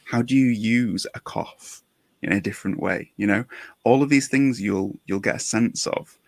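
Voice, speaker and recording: A man with a fairly low voice speaks as a podcast host. His delivery is conversational and reflective, and the sound is dry with little room in it. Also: moderate pace, vocal fry, no echo.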